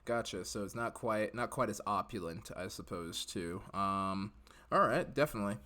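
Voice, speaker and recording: A man's voice: lowish voice, pushed and bright. A man hosting a podcast, chatty and joking as he interviews a guest. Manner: chatty, joking, quick laughs. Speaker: podcast host.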